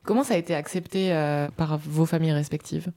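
A woman hosting a podcast who reads the narration and asks interview questions in a measured, calm way. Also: low voice.

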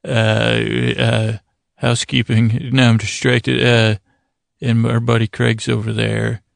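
Very creaky, monotone man rambling. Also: medium pitch, no echo, clean studio sound. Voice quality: gravelly, creaky. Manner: meandering, halting, often repeating words and trailing into tangents; gently silly and self-deprecating. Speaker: podcast host. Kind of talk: rambling bedtime monologue to help listeners sleep.

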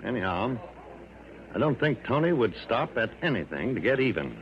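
An actor playing a hesitant, fussy, cautious American insurance man in a mid-century radio drama, halting and hedging. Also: medium pitch, big pitch swings, noisy background, close to an everyday voice. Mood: fussy insinuating. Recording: muffled old radio broadcast.

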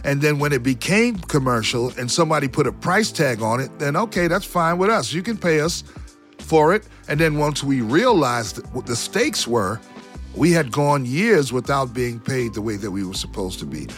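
A man's voice: mid-range voice, lively intonation, moderate pace. A middle-aged or older man, a musician, looking back reflectively as a guest in an interview. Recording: music playing behind the voice.

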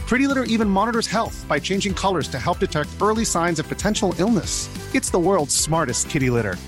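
Man reading an ad, high lively voice, faint music behind. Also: fast talker. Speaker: ad reader, company founder. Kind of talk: scripted advertisement.

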